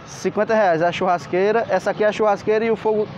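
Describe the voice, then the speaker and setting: A man's voice: sits high, steady tone, quick pace, crowd noise. A man with a Pernambuco accent filming a walking tour of an outdoor street market, pointing things out and asking viewers for likes. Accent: Pernambuco Portuguese. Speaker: travel vlogger. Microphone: handheld camera. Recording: lo-fi camera audio with street noise.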